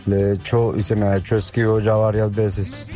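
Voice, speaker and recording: A man calls a radio show. His low voice is muted and dark, and he speaks very evenly with little melody. Some music is faintly behind him. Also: level tone, fairly quick. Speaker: radio call-in listener.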